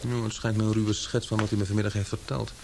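A man's voice: lowish voice, flat delivery, fast talker, background noise, lightly acted. Man acting a polite, eager newspaper reporter. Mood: thoughtful. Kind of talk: Dutch radio drama acting.

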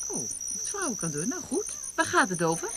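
A woman's voice: high voice, huge pitch swings, brisk. Girlish, good-natured young camp wife. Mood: curious. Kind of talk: naturalistic radio drama acting. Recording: noisy radio drama with insect ambience.

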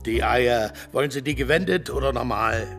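An actor playing a brash, blunt diner counterman with a gravelly, raspy voice in a radio play. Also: medium pitch, normal speed, a bit bright, faint music behind, natural voice. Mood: blunt, cocky.

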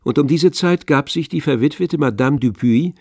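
A man's voice: fairly high pitch, moderate pace, gravelly, a little mellow, no room sound, studio quality. A man reading scripted literary narration for a radio play. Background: faint string music.

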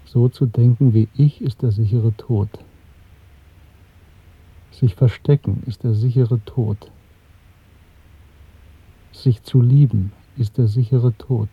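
An actor playing an earnest party clerk with secret rebellion in him, speaking in a measured, insistent way in a radio drama. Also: male voice, medium pitch, steady tone, slightly rough, no room sound. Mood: bitter, urgent. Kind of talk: German radio drama acting. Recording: muffled, dry 1970s radio drama recording.

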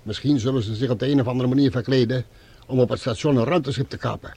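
An actor playing a dutiful, watchful guard with an official, matter-of-fact manner in a Dutch radio drama. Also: male voice, medium pitch, quick pace, mellow, breathy edge.

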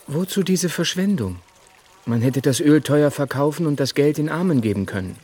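Indignant disciple, raised pitch, husky, protesting, radio drama. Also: male voice, lively intonation, moderate pace, a little mellow. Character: indignant male disciple objecting to waste.

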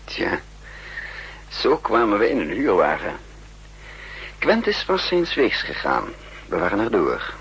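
A man's voice: fairly low pitch, wildly swooping pitch, a little slow, pauses often, fairly bright tone, slightly rough, full character voice, low-quality recording. An actor playing a resourceful, self-assured spy who narrates his story wryly in a 1960s radio play. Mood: tense recounting. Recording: old radio recording with music behind.

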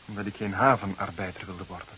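An actor playing a proud, defiant young criminal with sullen, clipped answers in a Dutch radio drama. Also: male voice, sits low, steady tone, fast talker, sharp tone. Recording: noisy, clicking old radio drama recording.

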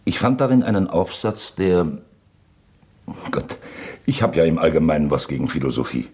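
Husky, deep, brooding elderly uncle. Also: male voice, very animated, a little mellow, heavily acted. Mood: musing, rueful. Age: older. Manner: halting, pensive, dramatic when quoting. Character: brooding elderly uncle, uneasy and fascinated by a manuscript. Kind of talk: radio drama dialogue.

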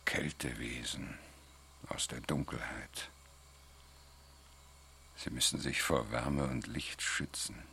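An actor playing a thoughtful, deliberate male investigator in a science fiction radio drama. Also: a bass voice, steady tone, creaky, bright, forceful tone, a little hiss or hum, heavily acted. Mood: pensive. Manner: pensive, deliberate. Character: thoughtful, speculating male investigator musing on alien mysteries.

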